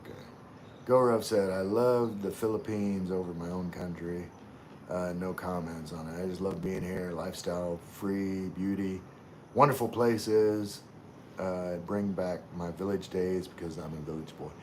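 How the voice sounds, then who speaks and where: A very deep-voiced man from Louisiana, chatting loosely with his live audience and answering comments as they come in. He talks in a relaxed, meandering way, full of "uh" and "you know". A faint hum sits under his voice. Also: a little slow. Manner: relaxed, rambling, many fillers and restarts. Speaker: livestream host reading chat. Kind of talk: casual live chat.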